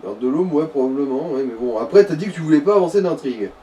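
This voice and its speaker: Distant, mellow Frenchman chatting over a game. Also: fairly high pitch, expressive, racing. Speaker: co-commentator. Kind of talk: casual gaming chat. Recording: distant mic with background noise.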